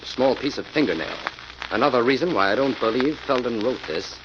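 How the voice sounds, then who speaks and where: A man playing a calm, authoritative detective in 1940s radio mystery style, with a brisk, measured, commanding delivery. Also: medium pitch, natural voice. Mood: intent, deducing. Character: calm, authoritative male detective solving a murder. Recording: muffled, noisy vintage radio recording.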